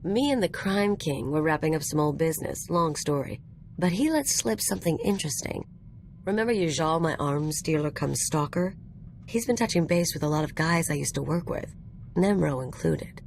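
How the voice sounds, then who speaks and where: A woman's voice: low voice, animated, normal speed, gravelly, darkish tone, slight background noise. A woman voicing a cocky, flirtatious rogue in a video game, casual and teasing with a bit of swagger. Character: cocky, flirtatious female rogue with a shady past.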